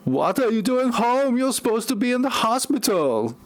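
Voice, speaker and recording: A man's voice: extremely high pitch, big pitch swings, normal speed, noisy background, exaggerated voice. A man playing an anxious, fussing woman in a parody audio play.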